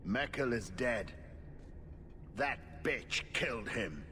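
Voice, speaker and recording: A man's voice: mid-range voice, animated, slow pace, bright, forceful tone. An actor playing a vengeful, menacing male thug in a fantasy video game, bitter and threatening. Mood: bitter, angry. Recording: noisy, long hall reverb.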